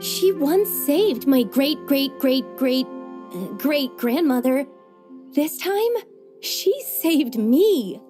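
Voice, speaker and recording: A woman's voice: high voice, lively intonation, takes it slowly. A woman voicing an earnest, wistful young woman from a strict tea-farming family in a game cutscene. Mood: amazed. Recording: soft game music underneath.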